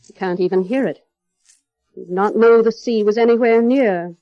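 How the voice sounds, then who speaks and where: An actress playing a cold, formal middle-aged housekeeper in 1930s radio drama, measured and quietly menacing. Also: female voice, fairly high pitch, no room sound, close to an everyday voice. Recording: dull, muffled vintage radio broadcast, music.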